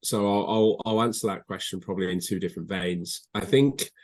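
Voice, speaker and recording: A man's voice: low-pitched, steady tone, a little fast, darkish tone. A man speaking as an expert guest, answering conversationally in a structured, explanatory way. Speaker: recruitment software expert, guest.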